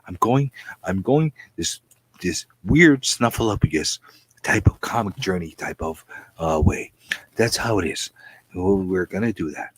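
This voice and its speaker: A man hosting a comic book channel, ranting comedically with theatrical repetition, stammered phrases and a husky voice that often drops into breathy whisper. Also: low-pitched, expressive, measured pace, low-quality recording. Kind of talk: comedic rambling rant to viewers.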